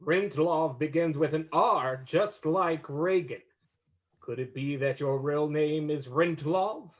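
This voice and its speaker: A man playing a composed, shrewd amateur sleuth in an old-time radio drama, commanding and deliberate, on a lo-fi recording. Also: fairly high pitch. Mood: accusing, taunting. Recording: dull lo-fi recording.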